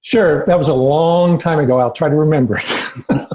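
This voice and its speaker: A man in his sixties from Indiana, a podcast guest calmly recounting his career in an unhurried, self-assured way. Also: a little high. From Indiana, USA. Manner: steady, self-assured anecdotes with filler ums. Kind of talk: relaxed storytelling interview.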